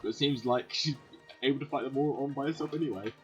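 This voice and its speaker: A man's voice: mid-range voice, fast talker, fairly bright tone, lo-fi sound. A man giving casual gameplay commentary as a gaming video host. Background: faint game music.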